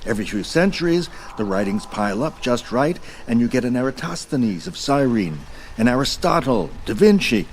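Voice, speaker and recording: An actor playing an ancient godlike being in an audio drama, amused and urbane, with a theatrical, erudite and wryly polite manner. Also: male voice, medium pitch, animated, moderate pace, a little hiss or hum, natural voice. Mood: lecturing, playful.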